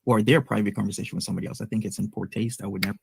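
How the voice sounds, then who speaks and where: A man's voice: fairly low pitch, steady tone, rapid-fire, vocal fry, a little mellow, slightly rough, no echo. A man on a live stream, defensively explaining himself.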